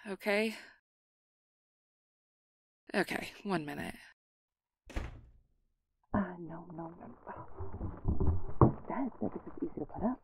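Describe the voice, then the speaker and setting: A woman's voice: mid-range voice, dry recording, poor audio. A woman playing an awkward, guarded but secretly tender young changeling in an ASMR roleplay, hesitant and stammering as she downplays things. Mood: flustered, nervous.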